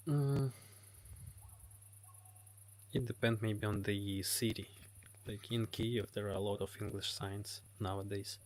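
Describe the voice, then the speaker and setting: A man's voice: on the low side, fairly even intonation, slow pace, long pauses, a little hiss or hum. A Ukrainian man with a Ukrainian accent, hosting a live stream and answering chat comments in hesitant English full of fillers. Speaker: livestream host. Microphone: phone.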